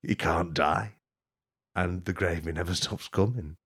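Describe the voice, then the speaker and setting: A man rambling through an improvised mock tour-guide bit, deadpan and absurd, swearing and laughing at his own jokes. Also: deep voice, unhurried, husky. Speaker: podcast host.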